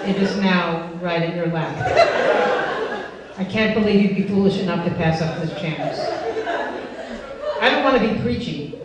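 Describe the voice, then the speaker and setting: A woman's voice: lowish voice, moderate pace, pauses often, a loud crowd, natural voice. Woman reading as pushy, obsessive dog, echoey hall. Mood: earnest, coaxing. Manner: pompous, wheedling, insistent formal phrasing. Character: obsessive, pushy, self-centred male dog pleading to play. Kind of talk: live comic story reading. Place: live benefit event before a laughing audience. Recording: echoey noisy hall, far from mic.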